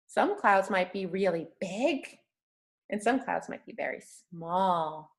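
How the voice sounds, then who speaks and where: A woman's voice: medium pitch, lively intonation, slow, gravelly. A woman reading a picture book aloud to young children, talking simply and encouragingly and often putting questions to them.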